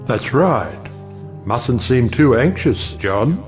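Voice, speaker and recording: An actor playing a wry, worldly judge in an audio play, with a dry, sardonic way of talking. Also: male voice, medium pitch, sing-song, slow, soft background music. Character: wry, cynical male judge, worldly and teasing. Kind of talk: audio play acting of a 1910s stage comedy.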